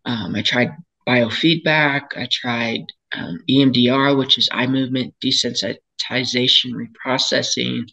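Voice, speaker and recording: An older woman speaks with a raspy, hoarse voice from a lingering cold, yet her tone stays bright and forceful. She tells her own story as a patient, earnest and candid, with pauses and restarts. Heard through a home webcam on a video call. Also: a bass voice, fairly even intonation, poor audio. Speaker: patient sharing her experience. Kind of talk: personal testimony at a panel. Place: home room, indoors, seated in a chair.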